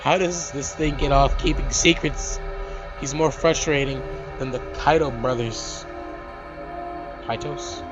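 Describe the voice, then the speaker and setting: A man's voice: mid-range voice, steady tone, slow pace, pauses often, lo-fi sound. Man reading a game aloud over music. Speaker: let's play host. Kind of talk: reading visual novel aloud with casual commentary. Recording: loud background music.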